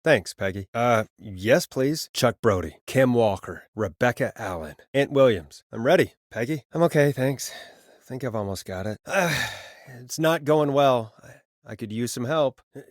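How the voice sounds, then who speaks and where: A wry, harried male radio DJ from a video game, played by an actor, recorded tight and dry with no room sound. His mid-pitched voice swings freely up and down, and his delivery is dry-humored and expressive, made for late-night on-air patter. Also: a little slow, no echo, close to an everyday voice. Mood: uneasy, focused. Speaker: radio show host.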